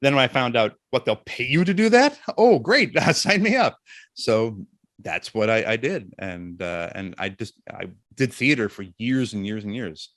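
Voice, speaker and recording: A middle-aged man chatting casually as an interview guest, telling jokey anecdotes in an animated way. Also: medium pitch, moderate pace, no room sound, studio quality.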